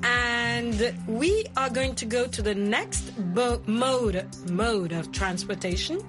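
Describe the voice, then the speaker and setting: A woman's voice: a little high, slow, over music. A woman from Kenya hosting a conversational English lesson, playfully repeating and explaining what her guest says. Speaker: host teaching English vocabulary.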